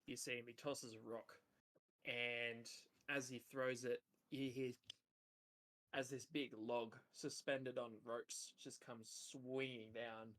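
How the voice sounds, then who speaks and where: A man narrating as game master of a tabletop role-playing game, casual and jokey, with a big booming voice. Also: flat delivery, takes it slowly, a bit bright.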